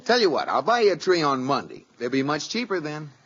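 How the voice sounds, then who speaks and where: A man's voice: on the high side, very animated, fairly quick, a bit bright, husky, exaggerated voice. A man playing a dry, bantering, unsentimental husband in a 1940s radio sitcom. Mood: casual. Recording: muffled, noisy old radio recording.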